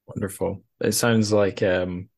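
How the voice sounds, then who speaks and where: Man, low voice, podcast host, casual interview chat, halting, tentative phrasing. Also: little pitch movement, creaky, a little mellow. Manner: hesitant, halting questions with repeated words.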